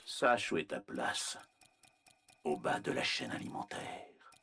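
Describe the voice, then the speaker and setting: A very low-pitched cold scientist villain for a video game. His voice is husky and he speaks slowly, with clinical condescension and quiet menace. The delivery swings widely in pitch while staying arrogant and measured. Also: sing-song, full character voice. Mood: detached menace. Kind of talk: scripted video game character voice lines.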